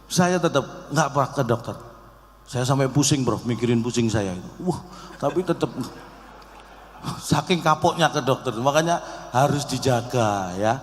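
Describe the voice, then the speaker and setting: A man, giving a joking, conversational humorous speech directly to a live audience. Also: mid-range voice. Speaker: comic speaker at an organisation's event. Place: large hall event with audience. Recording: echoey hall PA with background noise.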